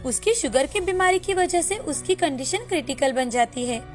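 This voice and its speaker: Woman telling a moral story, pretty high voice, scripted storyteller delivery. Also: expressive, normal speed, faint music behind. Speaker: story narrator.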